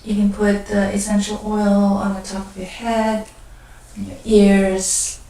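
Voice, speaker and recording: A woman leading a guided meditation, speaking gently and unhurriedly in short phrases with pauses between them. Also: medium pitch, completely flat delivery. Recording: very echoey room, distant mic.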